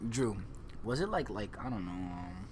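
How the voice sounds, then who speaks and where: A man's voice: lowish voice, big pitch swings, moderate pace, crowd noise. A man, a barber and competition judge, joking and ribbing others in casual banter.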